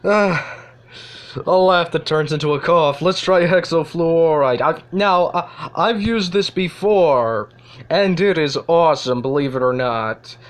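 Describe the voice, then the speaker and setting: Slow-paced young male, playful and goofy. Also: on the high side. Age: child or teenager. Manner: goofy, prone to giggling. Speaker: video host. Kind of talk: casual playful app demo commentary.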